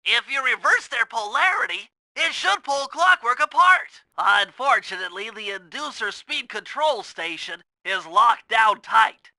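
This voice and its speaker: A man's voice: very high-pitched, expressive, unhurried, vocal fry, hardly any pauses, sharp tone, no echo, exaggerated voice. A male actor playing a nerdy, anxious young turtle tech genius in a video game, with a nasal voice and fussy, precise delivery. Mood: earnest, instructive.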